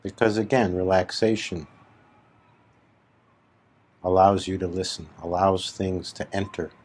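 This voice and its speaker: A man with a deep voice guides a meditation as a spiritual teacher. He speaks very slowly and deliberately. His short phrases are set apart by long pauses. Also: fairly even intonation, a little mellow. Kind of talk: slow guided meditation talk.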